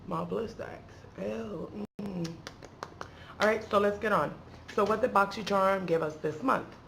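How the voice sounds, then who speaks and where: Playful woman vlogger with a creaky voice. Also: medium pitch, unhurried, fairly bright tone, a little hiss or hum. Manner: playful, slangy, rambling with asides. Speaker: beauty vlogger and channel host. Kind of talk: casual chatty unboxing vlog.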